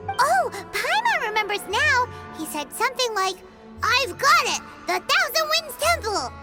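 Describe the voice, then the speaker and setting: A woman voicing a tiny, excitable childlike fairy companion in a video game, squeaky and exclamatory. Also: unhurried, heavily acted. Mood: concerned, eager. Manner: exclamatory, refers to herself by name. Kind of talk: animated video game acting. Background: music.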